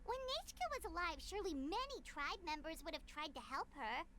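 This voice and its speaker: A woman voicing a small, excitable, protective fairy companion in a video game, squeaky and emphatic in delivery. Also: brisk, very noisy. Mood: surprised, indignant. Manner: emphatic, exclamatory.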